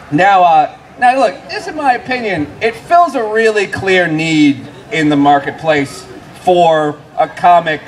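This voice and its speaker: Playful, lively man moderating a panel. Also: sits high, unhurried. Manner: joking, playful interjections. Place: outdoor book fair stage in a park. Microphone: handheld microphone.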